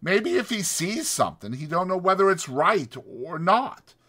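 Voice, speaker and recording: A man's voice: on the high side, pushed and bright, breathy edge, voice pushed up, exaggerated voice. A man voicing a lonely, bitter, scornful stable hand with brooding, emphatic, folksy rural speech in a dramatized audiobook. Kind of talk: dramatized audiobook character acting.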